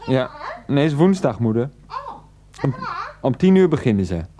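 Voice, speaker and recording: Actor as a flustered, pleading middle-aged son. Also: male voice, mid-range voice, big pitch swings, moderate pace, mellow, slight background noise, lightly acted. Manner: flustered, repetitive, protesting, placating. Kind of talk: radio play acting.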